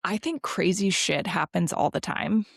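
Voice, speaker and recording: A woman co-hosting a podcast, chatting casually in a halting, slangy way full of likes and ums. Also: fairly low pitch, vocal fry, husky, dry recording, studio quality. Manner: halting, filler-heavy, slangy conversational delivery. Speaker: podcast co-host.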